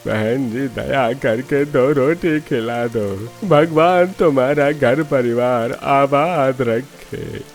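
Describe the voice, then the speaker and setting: A man playing a starving, weak old beggar in a cartoon story, pleading in drawn-out phrases. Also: mid-range voice, soft background music. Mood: humble begging. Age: older. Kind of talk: Hindi cartoon story acting.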